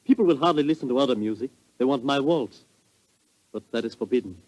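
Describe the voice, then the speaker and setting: An actor playing an aggrieved, pleading composer in 1940s radio drama style. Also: male voice, medium pitch, lively intonation, fast, a little mellow, close to an everyday voice, poor audio. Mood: anxious pleading. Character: anxious, aggrieved Hungarian composer, pleading and self-concerned.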